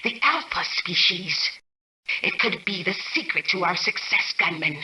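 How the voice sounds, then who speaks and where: Female game AI: imperious, calculating, robotically measured, bright edge, distant reverberant sound. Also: on the low side, expressive, exaggerated voice. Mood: calculating, menacing. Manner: formal, commanding, measured robotic delivery. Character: cold, imperious female mainframe AI, menacing and calculating. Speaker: game antagonist turned ally. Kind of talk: scripted video game voice acting. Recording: distant, echoey processed game audio.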